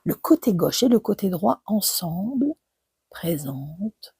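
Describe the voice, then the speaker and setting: Woman, guided meditation, slow, mellow, soft near-whisper, soothing, short phrases with gentle pauses. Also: fairly low pitch, big pitch swings, vocal fry, husky, dry recording, studio quality. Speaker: yoga nidra relaxation guide.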